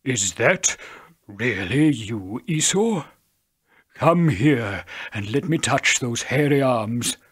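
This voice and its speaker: A man voicing an old, blind, solemn father with a husky, breathy voice and slow, drawn-out delivery, as in a storybook. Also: mid-range voice, expressive. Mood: doubtful.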